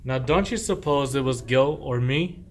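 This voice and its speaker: A man playing a sly husband feigning innocence and hospitality, speaking measured archaic rhymed verse in a radio play. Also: mid-range voice, close to an everyday voice. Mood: pleading innocence.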